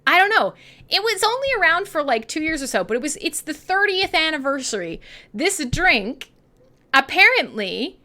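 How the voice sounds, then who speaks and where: A woman's voice: high-pitched, moderate pace. A woman in her thirties with a Canadian accent, hosting a radio show in irreverent, sweary, sarcastic casual chat with listeners. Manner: sweary, sarcastic, animated, laughs often.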